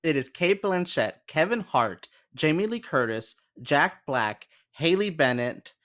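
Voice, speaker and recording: A man's voice: on the high side, very animated, a little slow, a bit bright. A man chatting casually as a podcast panelist, giving frank opinions. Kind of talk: casual chat about films and games.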